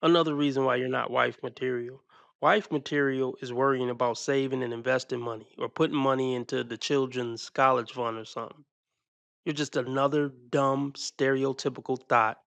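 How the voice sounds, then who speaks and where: A man's voice: mid-range voice, fairly even intonation, normal speed, creaky, fairly bright tone, no echo. Man, reaction commentator, blunt, dismissive, dry close sound.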